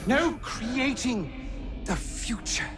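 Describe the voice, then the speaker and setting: An actor playing a pompous, contemptuous Victorian capitalist in a game, grandiose and declamatory, with an English accent. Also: male voice, very high-pitched, very animated, slow pace, music in the background, full character voice. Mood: haughty, contemptuous. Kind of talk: video game cutscene acting.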